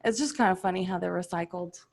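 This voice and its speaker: A woman's voice: fairly low pitch, quick pace, gravelly, a little mellow. A woman chatting casually as part of a podcast cast. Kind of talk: casual chat.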